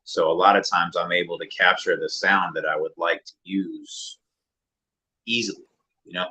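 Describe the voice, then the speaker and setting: A man, a music producer and rapper, talking casually and reflectively as an interview guest in a deeper mid-range voice. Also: moderate pace. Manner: relaxed, reflective, many fillers.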